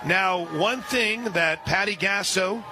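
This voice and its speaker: A man calling a softball game live on radio, steady between pitches and surging loud and high on big plays. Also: slow, music in the background. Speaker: radio play-by-play announcer.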